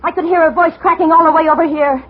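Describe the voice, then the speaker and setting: High-voiced scheming radio femme fatale. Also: female voice, fairly even intonation, fast talker. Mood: vengeful, taunting. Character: scheming, vengeful girlfriend who feigns devotion. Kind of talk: 1940s-style radio melodrama acting. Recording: muffled, noisy old radio drama recording.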